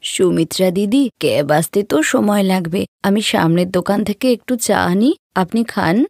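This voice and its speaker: A woman voicing a polite, helpful young seamstress in an animated story, eager to help. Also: mid-range voice, normal speed, vocal fry, no room sound. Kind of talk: Bengali animated moral story acting.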